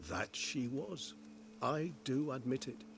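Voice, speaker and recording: A man's voice: medium pitch, lively intonation, takes it slowly, gravelly, background noise. An actor playing an urbane, philosophical immortal in a fantasy game, wry and erudite in his phrasing. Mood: detached, wry.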